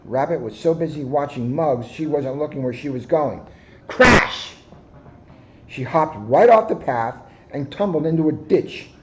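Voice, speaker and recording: A man, a police officer, reading a children's storybook aloud with lively asides. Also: a little high, a little slow, muffled recording, slight background noise.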